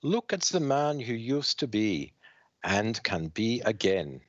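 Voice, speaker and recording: A man's voice: fairly low pitch, big pitch swings, takes it slowly, no echo, pitched above the performer's own voice. A man voicing a cruel, seductive sorceress with a put-on German accent, speaking in a silky, taunting way. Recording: dry, slightly dull lo-fi sound.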